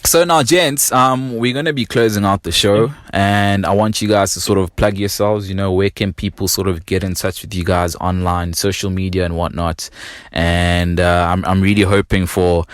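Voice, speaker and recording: Deep-voiced South African man, podcast host. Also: fairly even intonation, moderate pace, no room sound, studio quality. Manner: slangy, enthusiastic, appreciative. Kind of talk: casual chat.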